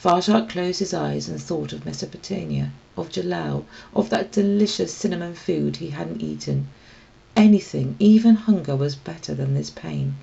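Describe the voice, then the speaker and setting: A woman reading a children's story aloud as expressive scripted narration. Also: sits low, moderate pace, creaky, highs cut off. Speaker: storybook reader.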